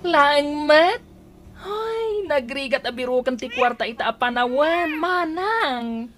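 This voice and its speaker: An actress playing an excitable, suspicious household woman in Ilocano radio comedy drama, with an Ilocano accent. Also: sits high, slow, slight background noise, full character voice. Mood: suspicious, annoyed. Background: music.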